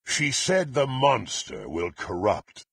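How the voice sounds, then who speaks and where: A man playing a murderous, proud warrior in a fantasy video game, with a gravelly, raspy voice and archaic, haughty, theatrical delivery. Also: medium pitch, big pitch swings, slow pace, no echo, studio quality, full character voice. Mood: haughty, brooding. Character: murderous, menacing, proud warrior serving a dark lord.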